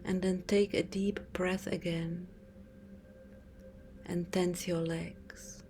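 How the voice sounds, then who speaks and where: A woman speaks in a soft, breathy half-whisper, soothing and unhurried with long pauses, as a yoga teacher leading a guided relaxation meditation. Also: fairly low pitch, little pitch movement. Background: music.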